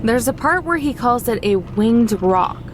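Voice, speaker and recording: A woman's voice: on the high side, expressive, unhurried. An actress playing a dry, sarcastic, level-headed young woman in a visual novel, speaking in a matter-of-fact way. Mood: explaining. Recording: loud music under the voice.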